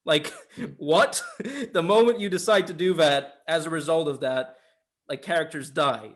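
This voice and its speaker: A man with a fairly high-set voice talks casually as one half of a review show. He is opinionated and informal, and speaks conversationally with some swearing. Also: measured pace, fairly bright tone. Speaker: film reviewer on a two-person show.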